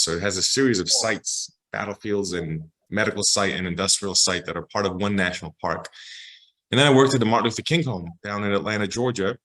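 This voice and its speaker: A man with an American accent and a fairly low voice talks in an interview. He explains things like a storyteller who knows history, in an informal, conversational way, often checking that the listener follows. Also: a bit bright, poor audio. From Los Angeles, United States. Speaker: public historian, interview guest.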